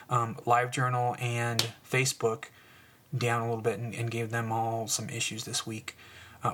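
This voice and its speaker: A man's voice: medium pitch, pushed and bright. A man hosting a casual solo podcast, rambling with lots of fillers and sarcastic asides, sniffling as he talks. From United States. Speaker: podcast host.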